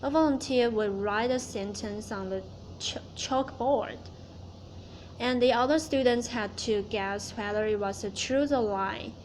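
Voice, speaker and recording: A woman's voice: fairly high pitch, measured pace, a little mellow. Woman doing a class speech, reads it out careful, redoes words she trips on, little bit of background hum. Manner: careful recitation, restarting stumbled words. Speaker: student giving a class speech. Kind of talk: reciting a prepared speech.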